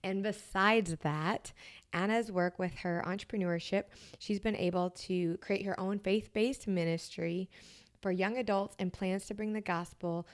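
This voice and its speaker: A woman's voice: medium pitch, steady tone, unhurried. A woman in her thirties hosting a podcast interview, chatty and encouraging toward her guest. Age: 30s. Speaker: podcast host. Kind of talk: casual interview.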